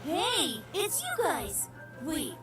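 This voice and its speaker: High-pitched, boastful little boy. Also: wildly swooping pitch, extremely slow, far from the mic, over music, full character voice. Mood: playful, pompous. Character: cheerful boastful little boy playing at martial-arts master. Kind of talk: video game voice acting.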